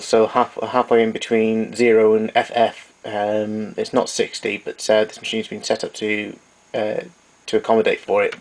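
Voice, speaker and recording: A man giving an informal technical demonstration, explaining things in a matter-of-fact, slightly hesitant way. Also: lowish voice. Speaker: tape recorder repairer giving a demonstration. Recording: noisy amateur recording with clicks.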